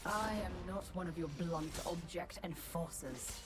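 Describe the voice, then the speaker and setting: A woman's voice: on the low side, measured pace, natural voice. Haughty, menacing lady assassin voiced by a woman over music. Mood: condescending menace. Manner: clipped, disdainful, sarcastic delivery. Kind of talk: video game character voice lines. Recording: game clips over loud music.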